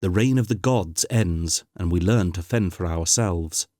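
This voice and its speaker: A man's voice: sits low, measured pace, a little mellow, dry recording, clean studio sound. A man voicing an earnest, scholarly young woman who explains things carefully. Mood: explaining.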